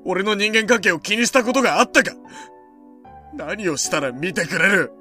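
A young man playing a bitter, jealous high school boy in a Japanese voice drama, with a cutting, taunting way of talking. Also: expressive, normal speed, bright, forceful tone, soft background music. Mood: wounded, angry.

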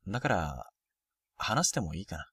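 A man playing a frank, proud, sporty first-year high school boy in a Japanese youth romantic comedy voice drama. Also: very animated, moderate pace, a bit bright, husky, dry recording, studio quality, full character voice. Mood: casual, reflective.

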